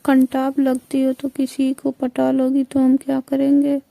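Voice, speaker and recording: A woman's voice: sits high, completely flat delivery, measured pace, creaky, mellow, dry recording. A woman playing a coy, hesitant married aunt in a staged phone conversation, speaking colloquial speech. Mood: coy, defensive.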